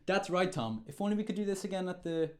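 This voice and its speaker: A man's voice: high voice, animated, fast, a little mellow. A young man playing a chatty, goofy sidekick co-host in a hammy comedy show sketch. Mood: excited.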